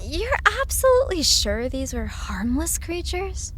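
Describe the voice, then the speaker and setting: A woman's voice: high-pitched, big pitch swings, a little slow, slight background noise. A woman playing a skeptical, anxious young woman in an audio drama, questioning everything in an emphatic, incredulous way. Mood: worried.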